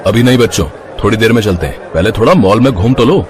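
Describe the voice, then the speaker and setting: A man playing a conciliatory family man, a caring brother and husband, in an animated Hindi family story, with a husky voice. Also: fairly low pitch, fast, crowd noise, lightly acted. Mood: friendly.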